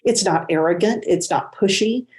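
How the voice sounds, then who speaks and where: A woman's voice: mid-range voice, normal speed, darkish tone. A woman guest on a podcast, speaking earnestly and encouragingly in casual interview chat.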